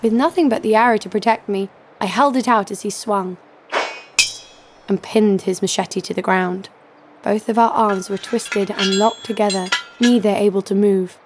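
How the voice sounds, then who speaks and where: An actress playing a tough, sharp-tongued young woman fighter, narrating and speaking in an audio drama with a sardonic edge. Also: mid-range voice, fairly even intonation, moderate pace, background noise, lightly acted. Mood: narrating, wry. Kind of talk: audio drama first-person narration and dialogue.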